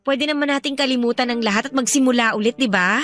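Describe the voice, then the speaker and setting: An actress playing an evasive young lover seeking forgiveness, speaking with a Filipino accent in a coaxing, apologetic way in a radio drama. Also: female voice, fairly high pitch, quick pace, a bit bright, close to an everyday voice. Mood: pleading. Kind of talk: radio drama acting in Filipino love story.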